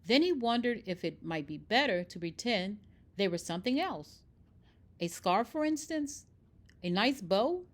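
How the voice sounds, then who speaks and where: Woman, storybook reader, slow pace, lively intonation, expressive narration for children, medium pitch.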